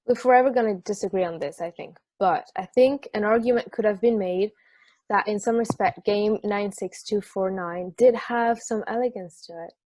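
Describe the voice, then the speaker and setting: Man playing an easygoing weirdness-loving fan, very high-voiced, dry sound. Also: normal speed, darkish tone, dry recording, lightly acted. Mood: teasing, argumentative. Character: easygoing football fan who relishes weirdness. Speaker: amateur actor in a remote table read. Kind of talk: conversational dramatic script reading.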